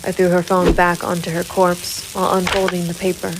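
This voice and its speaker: An actress playing a hard-boiled, brooding and quietly determined female private investigator in a gritty noir audio drama. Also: lowish voice, completely flat delivery, brisk, background noise, natural voice. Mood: cold, resolute. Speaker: voice actor narrating and playing the lead of an audio drama. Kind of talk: gritty noir audio drama acting and first-person narration.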